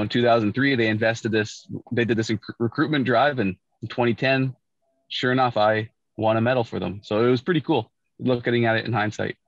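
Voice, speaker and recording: A man's voice: on the low side, no echo. A middle-aged man from Saskatchewan, Canada, telling his story casually on a video call from inside a parked car, with a lot of restarts and fillers. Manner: relaxed, with many restarts and fillers. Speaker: Olympic bobsledder guest. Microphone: video call, laptop or phone camera. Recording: muffled dry video-call audio.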